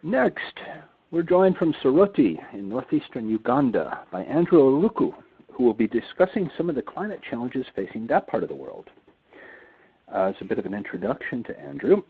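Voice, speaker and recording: Man, husky voice with lively pitch, formal host, phone-line audio. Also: normal speed. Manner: courteous, formal introductions. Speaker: webinar host. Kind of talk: moderating a webinar.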